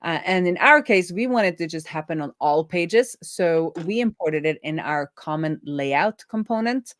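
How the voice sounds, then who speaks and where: A woman's voice: on the low side, expressive, unhurried. A woman explaining programming informally as a host, thinking aloud as she goes. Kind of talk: informal live coding explainer.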